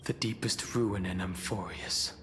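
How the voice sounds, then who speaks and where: A grave, pensive fantasy game character with a low voice. He speaks with dramatic seriousness, in long hall reverb over background noise. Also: unhurried, fairly bright tone. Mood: somber, pensive.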